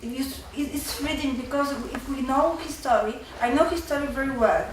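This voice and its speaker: A woman in her twenties with a Polish accent, a nervous student giving halting, unscripted remarks at a seminar. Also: high voice. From Poland. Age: 20s. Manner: halting, repeats and breaks off words. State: nervous, stressed. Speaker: university student asking from the floor. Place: university seminar room. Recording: very echoey hall, distant, faint noise.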